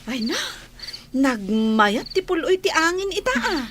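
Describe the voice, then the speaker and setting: Slow, high-voiced young housemaid actress, noisy ambience. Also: female voice, sing-song, fairly bright tone, heavily acted. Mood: relaxed, friendly. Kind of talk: audio drama acting in dialogue.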